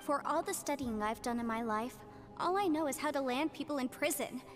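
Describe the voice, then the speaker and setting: A woman's voice: high voice, brisk, faint music behind, close to an everyday voice. A woman voicing a cheerful, earnest young scholar in a video game, keeping an optimistic outlook. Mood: hopeful.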